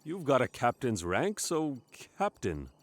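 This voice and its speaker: Man playing spaceship captain, brash, impatient, self-important, theatrical commands, swooping pitch, comedic stage sci-fi. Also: wildly swooping pitch, measured pace, no echo. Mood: brushing off.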